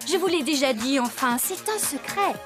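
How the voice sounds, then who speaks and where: A woman's voice: high-pitched, animated, moderate pace. A woman voicing a secretive, proud female farm animal in a children's cartoon. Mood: annoyed. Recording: music behind the voice.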